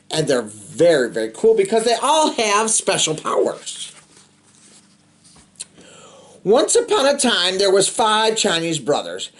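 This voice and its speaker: A man's voice: high-pitched, fairly bright tone. A man hosting a children's read-aloud, telling a picture-book story expressively with playful, chatty asides to young listeners. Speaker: storybook reader, host of a read-aloud show.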